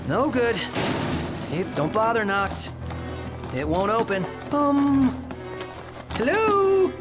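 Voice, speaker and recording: An actor playing a jittery, chatty young adventurer in a video game, with excitable, jokey delivery. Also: male voice, very high-pitched, slow, heavily acted. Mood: jumpy. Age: young adult. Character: jittery, chatty young man, cheeky scaredy-cat adventurer. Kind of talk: video game voice acting, casual banter. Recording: music under the voice.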